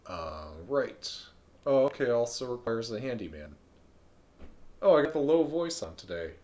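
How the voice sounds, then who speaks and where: A man streaming a video game live, thinking aloud in a relaxed way with frequent ums. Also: mid-range voice, moderate pace. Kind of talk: live let's-play commentary.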